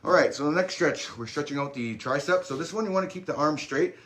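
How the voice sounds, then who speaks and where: A man leading a warm-up and stretching class in a relaxed, encouraging, chatty way, adding small asides as he goes. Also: on the high side, fast talker. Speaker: fitness instructor. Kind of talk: guided workout instruction.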